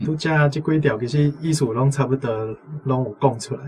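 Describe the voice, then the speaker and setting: A man's voice: mid-range voice, brisk, a little mellow, a little hiss or hum. A man with a Taiwanese accent, a language teacher giving explanatory commentary off the cuff. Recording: music playing behind the voice. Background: instrumental music.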